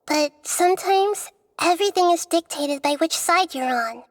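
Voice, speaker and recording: A woman voicing a young, gentle female goddess of wisdom who explains things in a calm, measured way. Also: very high-pitched, dry recording, studio quality. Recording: game audio with faint music.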